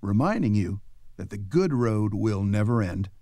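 A man's voice: fairly low pitch, expressive, moderate pace, noisy background. A man announcing in a folksy, scripted radio style. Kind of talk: folksy radio sign-off narration.

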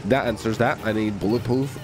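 A man hosting a gaming video, giving excited, playful and boastful commentary full of exclamations. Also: lowish voice, fairly quick. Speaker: gaming video host and player. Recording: music behind the voice. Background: music.

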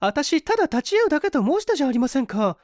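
A woman plays a young woman in an audio story, high-pitched and prim. She is proud and prickly, with anxious, old-fashioned feminine manners and a lively swing in her delivery. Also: rapid-fire, dry recording, clean studio sound. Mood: indignant.

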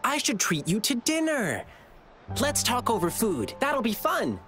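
A man's voice: extremely high pitch, wildly swooping pitch, measured pace, fairly bright tone, faint music behind, full character voice. An actor voicing a cheerful, eager young lion-eared boy performer in a video game, friendly and bubbly. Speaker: lion dance troupe performer.

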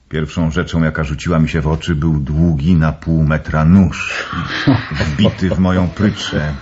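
An actor playing an earnest, brooding young gentleman in a radio drama, telling things in a measured, ominous way. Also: deep voice, breathy edge, muffled recording.

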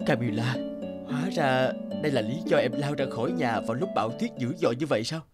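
A man's voice: mid-range voice, quick pace, natural voice. A man voicing a caring, worried middle-aged father in a cartoon, earnest and chiding. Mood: concerned. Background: background music.

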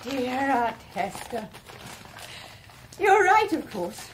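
An actress playing a sentimental, hesitant but gently stubborn English wife in a radio drama. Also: female voice, a little high, very animated, takes it slowly, noisy background. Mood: nostalgic. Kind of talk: 1980s British radio drama acting.

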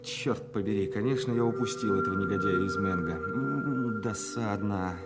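An actor playing an impetuous, self-mocking young provincial swordsman in a radio play, excitable and theatrical. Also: on the low side, moderate pace, loud music behind the voice, close to an everyday voice. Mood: rueful, musing. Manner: excitable, theatrical, muttering to himself.

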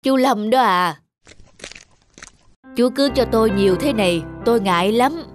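A woman voicing a gentle, devoted father in a cartoon, speaking tenderly. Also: fairly high pitch, a little slow, over music, close to an everyday voice. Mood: earnest. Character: gentle blind father, devoted to his little daughter. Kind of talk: cartoon dubbing.

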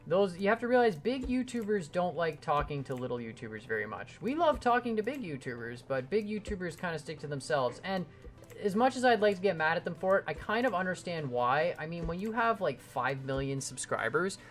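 A man's voice: fairly high pitch, big pitch swings, fairly quick, faint music behind. A young man streaming a game live from his home computer, chatting and rambling as he answers viewers. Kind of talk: casual live stream chat while building in a game.

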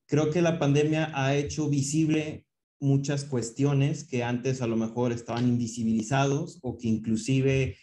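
A man's voice: medium pitch, flat delivery, a little slow, dark tone. A man of twenty-seven, speaking thoughtfully as a webinar panelist on a video call, often restarting words. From northern Mexico. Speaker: panelist, founder of a youth organization. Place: indoor office.

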